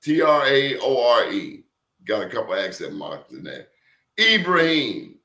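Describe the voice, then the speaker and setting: A man's voice: medium pitch, very animated, measured pace, creaky, sharp tone, roomy sound. A man hosting an interview show, telling stories in an emphatic, preachy way. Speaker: show host. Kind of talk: casual interview talk.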